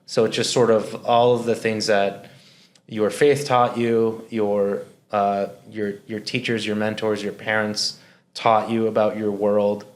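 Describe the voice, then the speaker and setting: Guy from minnesota, lowish voice, earnest and reflective guest. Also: level tone, unhurried. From Minnesota, United States. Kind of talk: conversational interview.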